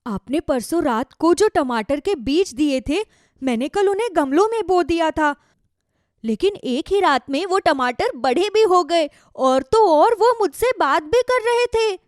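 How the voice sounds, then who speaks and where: A woman plays an excitable village wife in a children's moral cartoon. Her voice is high and dramatic, swinging widely in pitch, in a dry recording. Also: unhurried, no echo. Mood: frightened. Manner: dramatic, wide-eyed exclaiming. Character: easily frightened, hopeful village wife.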